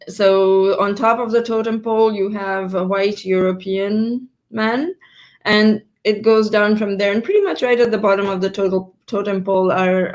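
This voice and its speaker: A woman's voice: mid-range voice, darkish tone. Woman in her fifties, from Pakistan, interview guest, thoughtful, rephrases as she goes, video call. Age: 50s. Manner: thoughtful, stops to rephrase mid-sentence. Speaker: guest entrepreneur. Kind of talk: live interview answers.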